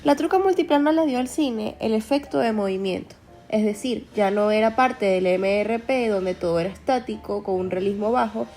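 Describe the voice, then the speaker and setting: A woman's voice: medium pitch, normal speed, warm tone, faint music behind. A young woman giving a scripted educational narration as a student narrator.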